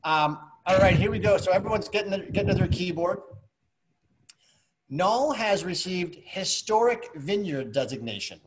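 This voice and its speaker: A man's voice: high voice, lively intonation, normal speed, a little hiss or hum, poor audio. A man in his fifties hosting a virtual wine tasting over a video call, chatty and joking. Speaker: host.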